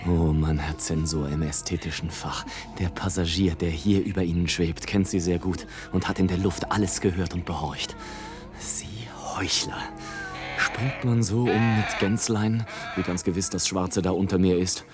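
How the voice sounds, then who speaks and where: A male actor as a sardonic balloonist looking down on humanity. The voice sits fairly low and moves between confiding mockery and indignant declamation, in a radio play with light music behind it. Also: steady tone, normal speed, natural voice. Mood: scornful, indignant. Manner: mocking, theatrical, swinging from hushed asides to loud declamation. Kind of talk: German radio play, literary monologue. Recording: music under the voice.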